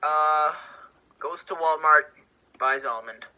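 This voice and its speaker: Casting: male co-host on a video call, piercingly bright, slightly high voice, laconic casual chat. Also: slow pace, smooth, rough recording.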